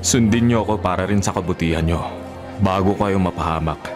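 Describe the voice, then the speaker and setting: A man's voice: low voice, brisk, music in the background, natural voice. An actor playing an earnest, respectful young Filipino man in a radio drama, speaking politely and pleadingly. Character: earnest, respectful young man warning his girlfriend's father.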